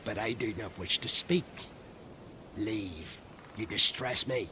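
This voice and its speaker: A surly hermit druid from a fantasy video game. He is curt and unsociable, with a tense, lowish voice. A faint rumble sits behind him. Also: slow pace, sharp tone, full character voice. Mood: irritated. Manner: curt, antisocial, blunt. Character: surly, reclusive male druid who shuns people. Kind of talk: video game character acting.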